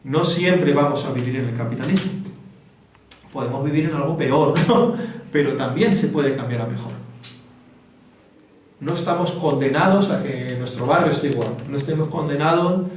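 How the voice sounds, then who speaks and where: A man's voice: on the high side, normal speed. A man from Venezuela giving a didactic public talk, often checking in with his listeners. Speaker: book presenter, foundation director. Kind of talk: informal public talk on Marxist theory. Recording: very echoey room, distant mic, faint noise.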